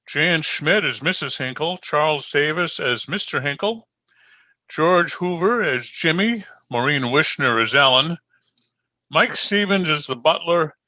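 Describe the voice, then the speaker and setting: Bright, dry-sounding male radio announcer. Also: fairly high pitch, dry recording. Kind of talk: scripted radio credits announcement. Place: indoors at home.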